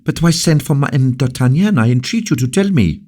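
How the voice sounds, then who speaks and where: A man's voice: deep voice, steady tone, moderate pace, warm tone, pitched above the performer's own voice, lightly acted. A man reading a novel aloud, voicing a young noble lady at court who pleads with fervent, principled conviction in a raised voice. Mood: pleading. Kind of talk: audiobook character reading.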